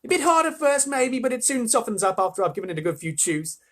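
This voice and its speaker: A reader voicing a brash, boastful gum-chewing girl from a children's storybook, talking loud and fast in a put-on Australian accent. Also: medium pitch, sing-song, voice pushed up. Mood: excited, bragging. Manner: fast, emphatic, chattering.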